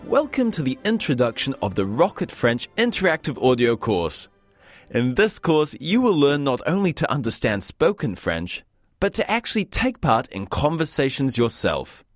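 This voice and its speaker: A man's voice: medium pitch, sing-song, normal speed, dry recording, clean studio sound, soft background music. A man from the UK hosting a scripted language course, with a wry, joking teacher's manner. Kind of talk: scripted lesson narration.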